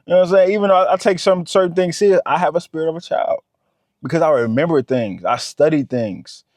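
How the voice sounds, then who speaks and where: A man's voice: on the high side, fast, a little mellow, dry recording, clean studio sound. A man, giving a motivational talk to camera. He talks straight to the viewer and repeats his points. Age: 30s. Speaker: vlogger.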